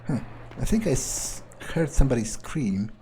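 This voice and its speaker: A man's voice: medium pitch, sing-song, normal speed, mellow, a little hiss or hum. A man doing improvised in-character commentary on a game, thinking aloud in a nonchalant, hesitant way. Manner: nonchalant, musing, hesitant phrasing. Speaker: gamer doing an in-character playthrough.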